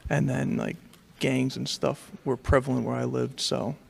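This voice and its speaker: A man's voice: mid-range voice, flat delivery, moderate pace, vocal fry, slightly rough. A young man from New York, a student, talking casually into a handheld mic in a lecture hall and rambling with many fillers. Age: young adult. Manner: rambling, heavy filler use. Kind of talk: casual class discussion.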